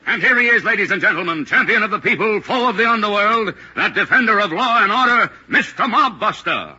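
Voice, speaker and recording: An actor playing a grand, excitable announcer in a melodramatic 1940s radio crime-show parody. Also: male voice, sits high, brisk, a little hiss or hum, exaggerated voice. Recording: muffled old radio recording. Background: loud music.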